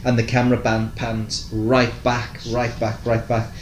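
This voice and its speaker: A man's voice: medium pitch, monotone, a little slow. A man chatting casually as a podcast co-host, easygoing and a little hesitant. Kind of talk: casual chat reviewing TV shows. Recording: echoey room, faint background noise.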